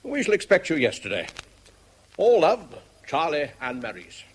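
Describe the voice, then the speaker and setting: Carefree chum, husky voice, bouncy expressive pitch, breezy and teasing, theatrical vintage radio play. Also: male voice, normal speed, poor audio. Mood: jovial, teasing. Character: jovial, teasing old male friend, carefree bon vivant. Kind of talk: 1970s British radio drama acting, letter voice-over.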